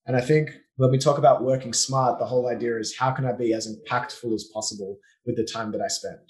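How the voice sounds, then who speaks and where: A man with an Australian accent, a business owner speaking as a guest on a podcast in a relaxed, explanatory way with frequent fillers. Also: medium pitch, quick pace. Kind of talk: casual conversational interview.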